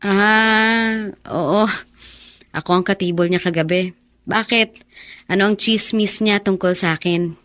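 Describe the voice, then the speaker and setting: An actress playing a dutiful young bar hostess and daughter in a Filipino radio drama, speaking gently and naturally. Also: female voice, medium pitch, unhurried, long pauses, lightly acted. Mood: guarded. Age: young adult.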